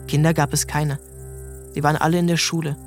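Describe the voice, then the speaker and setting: A man narrating a literary story in a calm, measured way. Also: a little high, faint music behind. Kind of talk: scripted narration.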